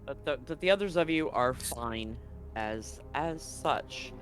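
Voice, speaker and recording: Guy game mastering a tabletop rpg, chatty n bantering, mid pitch voice, bit of music in the back. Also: expressive, takes it slowly, a bit bright. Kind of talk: casual tabletop roleplaying game narration.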